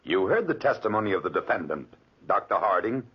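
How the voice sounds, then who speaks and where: An actor playing an earnest, formal defense lawyer with solemn oratory in a 1940s radio drama. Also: male voice, lowish voice, big pitch swings, fairly quick, slightly rough, full character voice. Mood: earnest pleading. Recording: muffled old 1940s radio recording.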